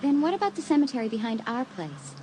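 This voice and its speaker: Anxious high-voiced teenage girl. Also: big pitch swings, quick pace. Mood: uneasy. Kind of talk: dramatised audiobook acting. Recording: audiobook tape with faint noise.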